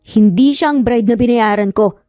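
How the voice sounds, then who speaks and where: A woman's voice: fairly high pitch, normal speed, dark tone, no room sound, studio quality, faint music behind, lightly acted. A woman with a Filipino accent voicing a nervous man who defends himself, shaky but emphatic, in an audio romance story. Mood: nervous, firm.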